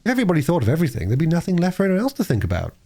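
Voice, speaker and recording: A man's voice: on the high side, wildly swooping pitch, racing, mellow, pitched above the performer's own voice, full character voice. A man voicing a mild, peacemaking older girl in a storybook reading. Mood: vague.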